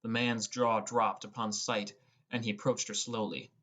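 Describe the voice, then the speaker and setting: This is an audiobook narrator telling a fantasy adventure in the first person. The voice sits at a medium pitch and reads from a script. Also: level tone, moderate pace, fairly bright tone.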